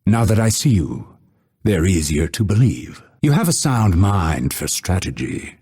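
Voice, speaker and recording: An actor playing a proud, scholarly male assassin in a video game, speaking in a measured, formal, dryly witty and haughty way with a husky voice. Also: low-pitched, sing-song, dark tone, heavily acted. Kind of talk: video game character voice lines.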